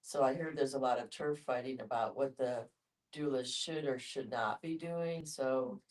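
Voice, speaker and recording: A woman's voice: extremely low pitch, moderate pace, darkish tone. A woman informally explaining what she has heard, in a group discussion.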